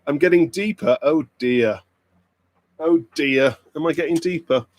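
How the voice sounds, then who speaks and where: A man's voice: fairly high pitch, measured pace, a little hiss or hum. A man with a British RP accent hosting a pub quiz livestream, chatting and joking with viewers. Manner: playful, joking banter with the chat. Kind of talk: casual livestream chat with viewers.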